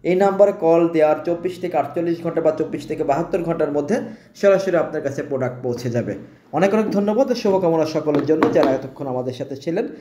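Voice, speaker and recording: A man with a Bangladeshi accent presenting products in an informal sales pitch, often repeating and restating his phrases. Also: fast, mellow. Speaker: shop presenter selling products.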